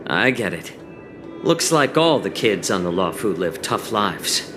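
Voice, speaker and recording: A man's voice: lowish voice, sing-song, unhurried, music in the background. A man voicing a suave, teasing healer in a video game cutscene, with a leisurely manner. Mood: amused.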